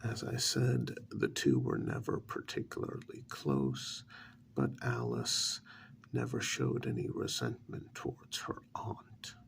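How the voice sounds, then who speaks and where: Man playing a gravelly, soft-spoken, deferential agent. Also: rumbling low, very animated, slight background noise, heavily acted. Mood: measured, subdued. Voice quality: breathy, half-whispered, gravelly. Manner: measured, halting, deferential. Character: deferential facility agent reporting to his superior on a runaway. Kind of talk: soft-spoken ASMR role-play.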